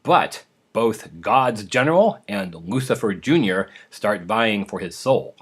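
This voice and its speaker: A man's voice: lowish voice, lively intonation, slow pace, slightly rough. A man hosting a podcast, introducing old radio programs in an informal, prepared style. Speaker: podcast host.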